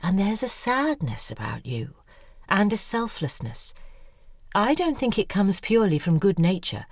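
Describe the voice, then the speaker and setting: An actress in an audio drama playing a self-obsessed, exuberant novelist who speaks in an effusive, articulate, theatrical way. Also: female voice, medium pitch, sing-song, a little slow, no room sound, clean studio sound. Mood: probing, urging.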